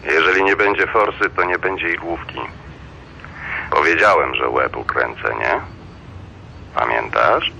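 An actor playing a mocking loan shark in a radio drama, making cool threats in a taunting way. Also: male voice, extremely low pitch, vocal fry, bright, forceful tone, highs cut off, a little hiss or hum, heavily acted. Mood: cool menace. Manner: slow, taunting, tags threats with a questioning "right?".

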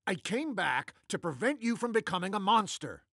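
An actor playing a smug, theatrical, easily exasperated older man from the future in an animated comedy. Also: high-pitched, moderate pace, husky, no room sound, studio quality, full character voice. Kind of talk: animated comedy character acting.

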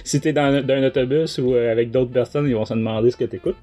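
A man's voice: mid-range voice, fairly even intonation, fast talker, warm tone. Hesitant Quebec man over background music. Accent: Quebec French. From Quebec, Canada. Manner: hesitant, rambling. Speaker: podcast host. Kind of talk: casual review discussion.

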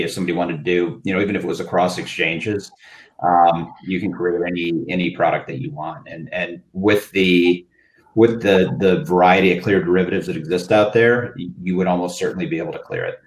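Man, panelist, deep voice, loose conversational delivery, echoey room. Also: steady tone, brisk. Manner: conversational, restarts and repeats. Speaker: panelist, market specialist. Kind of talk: webinar panel discussion. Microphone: video call.

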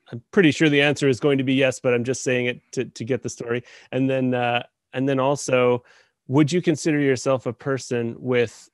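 A man in his thirties hosts a podcast interview, feeling his way through his questions and repeating words as he goes. His voice sits at an ordinary pitch for a man. The recording is dry and close, from a stand mic on a video call. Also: no echo. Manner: halting, repeats words while forming questions. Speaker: podcast host. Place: home room by a window.

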